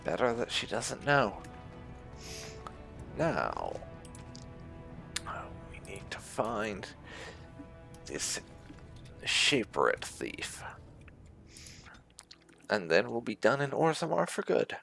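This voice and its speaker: A man's voice: medium pitch, huge pitch swings, drawn-out words, vocal fry, long pauses, pushed and bright. A man hosting a casual roleplay playthrough of a game, musing and wry as he comments. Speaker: gaming video host. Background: game music.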